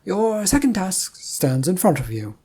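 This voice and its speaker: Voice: medium pitch, sing-song, measured pace, dark tone, slightly rough, voice pushed up, exaggerated voice. A narrator voicing an impish, teasing god who breezily sets out the rules of games in an audio story. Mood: announcing. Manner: breezy, taunting game-master explaining rules.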